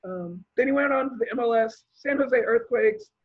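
A man's voice: very high-pitched, moderate pace, muffled recording. A man hosting a casual podcast interview, introducing his guest and asking loose questions with fillers. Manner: relaxed, reads out guest background with fillers. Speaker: podcast host.

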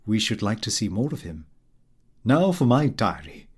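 A man's voice: on the low side, sing-song, moderate pace, a little mellow, slightly rough. A man acting a fussy Victorian clerk, swooping intonation. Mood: self-satisfied, chatty. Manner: prim, pompous, earnest. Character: fussy, self-satisfied Victorian city clerk diarist, middle-aged man. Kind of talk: audiobook narration of a comic diary.

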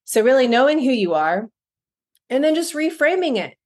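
Woman co-host, casual podcast chat, emphatic and lively, slightly higher voice. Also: moderate pace. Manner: animated, emphatic, laughs often.

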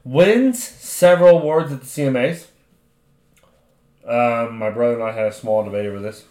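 A man's voice: medium pitch, moderate pace, darkish tone. A man in his thirties co-hosting a podcast, giving blunt, opinionated takes in casual chat and swearing now and then. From United States. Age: 30s. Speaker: podcast co-host. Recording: roomy echo.

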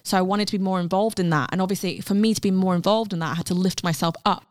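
A woman's voice: mid-range voice, fairly even intonation, quick pace, no room sound. Chatty young woman, dry sound. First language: Cantonese. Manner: chatty, many fillers and restarts. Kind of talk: casual podcast chat.

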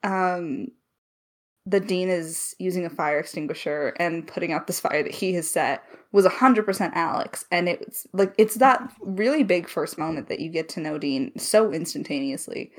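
A woman's voice: fairly low pitch, moderate pace. A young adult woman talking as a writer on an online call, informal and enthusiastic, thinking aloud as she explains her creative process. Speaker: lead writer of an audio drama. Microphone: recorded over Discord call.

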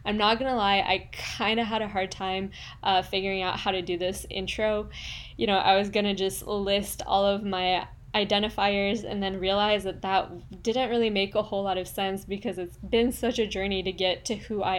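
A woman from Quebec whose first language is French, hosting her podcast and telling her own story in a relaxed, personal way with fillers. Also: medium pitch. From Quebec, Canada. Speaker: podcast host. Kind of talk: casual solo podcast monologue.

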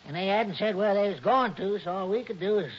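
A man's voice: high-pitched, fairly quick, close to an everyday voice. An actor playing a simple, flustered backcountry man in a 1960s radio drama, folksy and dithering, eagerly echoing what others say. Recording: muffled, noisy old radio recording.

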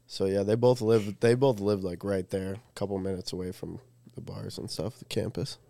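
A man's voice: low voice, steady tone, moderate pace, vocal fry, mellow, dry recording. A man in his twenties, co-hosting a podcast and telling stories in a laid-back way with lots of fillers. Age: 20s. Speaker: podcast co-host. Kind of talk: casual chat.

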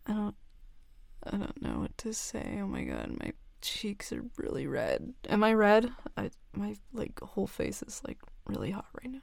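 A young woman playing a shy, nervous tomboy best friend confessing a crush in an intimate audio roleplay, hesitant and halting. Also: medium pitch, huge pitch swings, vocal fry, darkish tone, no echo, full character voice. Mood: flustered, nervous.

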